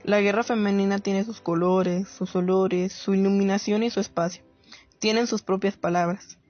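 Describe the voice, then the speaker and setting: Dry, slightly muffled recording of a woman acting as a reflective woman writer narrating a radio drama. Her delivery is solemn and deliberate, with literary phrasing at a middle pitch. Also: no room sound, lightly acted. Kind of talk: scripted radio-play narration.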